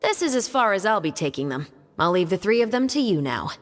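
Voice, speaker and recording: An actress playing a cool, sardonic patrol captain in a video game, speaking in a casual, understated way. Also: female voice, medium pitch, big pitch swings, a little fast, lightly acted. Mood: dry, casual. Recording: long reverb, music underneath.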